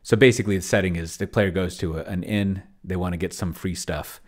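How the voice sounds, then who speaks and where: A man's voice: low voice, fairly even intonation, a little fast. A middle-aged man thinking aloud in an unscripted walkthrough, rambling and trailing off mid-thought, speaking close to a desk microphone. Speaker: video host. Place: indoor room at home, desk setup. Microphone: desk microphone close to the mouth.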